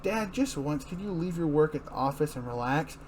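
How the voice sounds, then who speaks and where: Man reading as young adult daughter, gently nagging and fond, comic-book drama, noisy recording. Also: fairly high pitch, lively intonation, fairly quick, natural voice. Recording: clearly noisy room recording.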